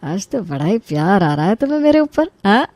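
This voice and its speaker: A woman playing an easygoing young husband in an animated story, with a casual, coaxing and teasing manner. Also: medium pitch, fairly quick, no echo, lightly acted. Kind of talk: Hindi animated story voice acting.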